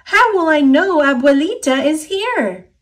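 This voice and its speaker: Woman as curious little girl, American accent, storybook character, high voice, earnest, questioning, lively intonation. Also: measured pace. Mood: wistful, questioning.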